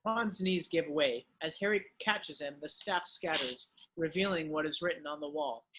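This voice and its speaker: A man's voice: a little high, normal speed, noisy background. A man narrating, reading the stage directions of a screenplay in an amateur read-through.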